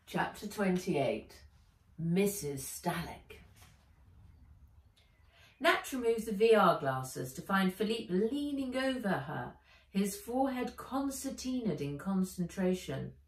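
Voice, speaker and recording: A woman author reading her children's book aloud as an expressive storyteller, with deliberate dramatic emphasis. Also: mid-range voice. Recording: roomy echo, distant mic.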